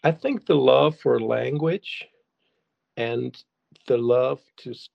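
Man, German native speaker, halting speech full of fillers, dry slightly dull recording. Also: medium pitch, gravelly, dry recording. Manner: hesitant, many restarts and fillers. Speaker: songwriter and guest. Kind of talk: casual interview answers.

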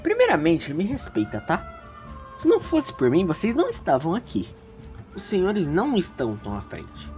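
A man's voice: high voice, huge pitch swings, moderate pace, darkish tone, soft background music. A man with a Brazilian accent playing an anxious, insecure, ambitious teenage schoolboy in a dramatic audio drama, speaking emotively and emphatically. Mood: irritated, defensive. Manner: emotive, emphatic, repeating words when agitated. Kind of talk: dramatic first-person narration and dialogue in audio drama.

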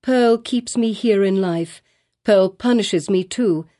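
A woman's voice: medium pitch, slow pace, dark tone, lightly acted. A woman voicing a desperate young mother who pleads for her child, her voice rising almost to a shriek. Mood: pleading.

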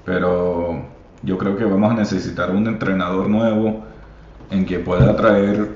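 Podcast host, man, deep and unhurried, mixes in English, encouraging, echoey dull recording. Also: steady tone, darkish tone, slight background noise. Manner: switches between Spanish and English, many fillers; encouraging, calls listeners papi. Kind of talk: casual podcast monologue. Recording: echoey room, slightly dull sound.

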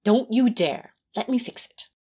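A woman's voice: fairly high pitch, measured pace, darkish tone, slightly rough. A woman playing a prim, fussy but tender male angel, speaking politely and precisely in a fan audio drama. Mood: apologetic, insistent.

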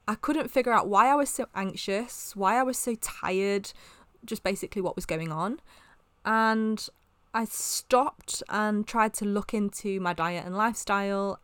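Chatty woman with a croaky throat. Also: mid-range voice, fairly bright tone, no room sound. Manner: chatty, rambling, many fillers. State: slight sore throat, a bit croaky. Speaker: wellness vlogger. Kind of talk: casual talk to camera.

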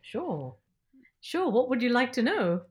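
A woman's voice: fairly high pitch, big pitch swings, unhurried. A woman who grew up in Nigeria and later England, talking emphatically and at length as a podcast guest telling her personal story. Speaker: podcast guest, broadcaster and film critic.

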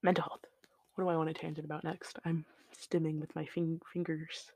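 Solo podcast host, flat and monotone, rambling and tangent-prone; creaky low-energy fry, dry close-mic sound. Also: a little fast, no room sound. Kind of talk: unscripted solo podcast chat. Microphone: shelf-mounted studio mic.

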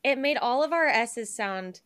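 A chatty young woman co-hosts a podcast in a bright, slightly high voice, leaning on "like" as she talks. She laughs often and keeps a loose, casual flow. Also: expressive, measured pace, clean tone. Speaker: podcast co-host and editor. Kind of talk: casual chat.

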